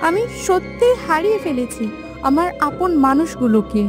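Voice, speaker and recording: Gentle, grieving cartoon princess, played by a woman: high, very expressive pitch, plaintive and sincere. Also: normal speed, music in the background. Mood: sorrowful. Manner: earnest, plaintive. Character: sorrowful, gentle young princess raised by woodcutters. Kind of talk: cartoon fairytale acting.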